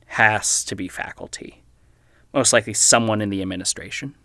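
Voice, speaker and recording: An actor playing an awkward, apologetic young amateur investigator in an audio drama, talking in a halting, stammering way. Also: male voice, on the low side, pushed and bright, dry recording, clean studio sound. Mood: earnest, guilty. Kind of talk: naturalistic audio drama acting.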